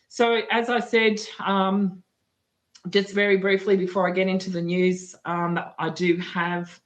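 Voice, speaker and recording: A woman with a husky, tired voice hosting a livestream news talk, chatty and full of fillers and restarts. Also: lowish voice, lo-fi sound. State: husky voice from talking too much. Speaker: guest news presenter.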